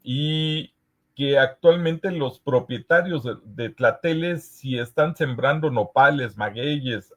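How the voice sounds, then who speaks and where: A man from Veracruz with a Mexican accent, moderating a session in a casual, hesitant way and pausing often as he searches for words. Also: medium pitch, a bit bright. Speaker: host of a history conference session. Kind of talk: casual moderating, reading audience comments aloud.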